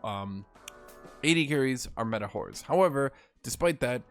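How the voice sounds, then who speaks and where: A man with a slightly hoarse voice hosting a gaming video, ranting with sarcastic, salty commentary. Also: medium pitch, lively intonation, normal speed, soft background music.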